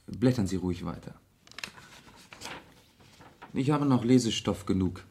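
An actor in a German radio crime drama playing a composed, aristocratic gentleman detective, terse and measured in manner. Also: lowish voice, expressive, a little hiss or hum. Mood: detached, musing.

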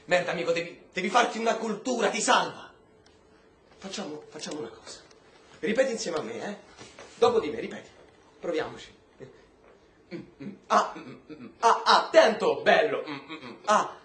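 Sardonic prisoner, high voice, slangy swagger, bitter, brotherly, radio drama, roomy faintly noisy recording. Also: male voice, big pitch swings, normal speed, fairly bright tone. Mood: playful, coaxing. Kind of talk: stage-style radio drama acting. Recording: roomy stage sound with faint noise.

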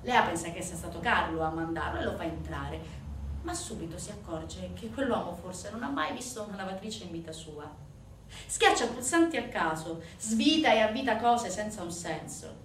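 A woman performing a dramatic theatrical monologue, intense and emphatic, swinging from hushed to loud. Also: medium pitch, lively intonation, normal speed. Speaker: stage actress narrating a monologue. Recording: roomy, distant stage recording with noise.

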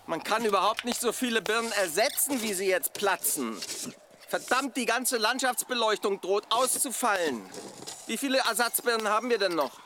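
A bossy engineer in a radio drama. He speaks high for a man, brusque and instructing, with clear rattling ambient noise around him. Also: animated, moderate pace, pushed and bright. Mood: urgent. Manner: brusque, matter-of-fact, instructing. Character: brusque, bossy male engineer maintaining the landscape lighting. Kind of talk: German radio drama acting.

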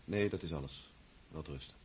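An actor playing a brooding, self-doubting young count in a radio drama, speaking in a hesitant, introspective way. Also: male voice, rumbling low, normal speed, darkish tone, slight background noise. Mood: polite. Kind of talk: radio drama acting with inner monologue.

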